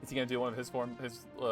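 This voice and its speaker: A man's voice: medium pitch, level tone, fast. A young man casually commenting on his gameplay, thinking aloud, swearing and chuckling often. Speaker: game streamer. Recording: game music behind.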